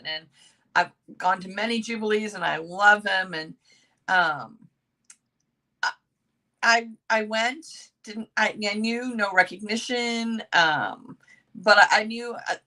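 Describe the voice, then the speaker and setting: Middle-aged woman, bright tone, slightly raspy from yelling, halting and earnest, video call. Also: mid-range voice. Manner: halting, earnest, with many restarts. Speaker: sales consultant guest. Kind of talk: personal sharing on a video call.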